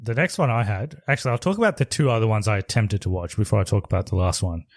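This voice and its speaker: A man's voice: fairly low pitch, fast, dry recording, clean studio sound. A man co-hosting a podcast, talking about movies in a casual, informal way and putting questions to his co-host. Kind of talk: casual movie review chat.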